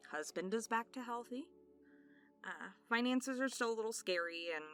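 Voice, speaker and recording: A woman's voice: fairly high pitch, normal speed, clear, resonant tone. A woman host giving a casual, candid personal update to listeners in an informal, giggly way. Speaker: ASMR channel host. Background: music.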